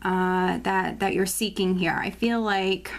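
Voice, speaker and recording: A woman reading tarot cards for her viewers, talking in a casual, chatty way with many fillers and restarts, her voice slightly hoarse as she is losing it. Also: mid-range voice, fairly even intonation, slow pace. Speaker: tarot reader. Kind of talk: casual tarot forecast video.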